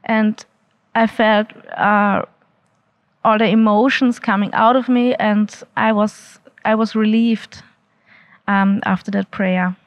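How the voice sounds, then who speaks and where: A woman's voice: mid-range voice, steady tone, slow, no room sound, dull audio. A woman, giving an earnest, unscripted testimony into a handheld mic, with many restarts and fillers. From Austria. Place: indoor church hall. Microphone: handheld mic held by interviewer.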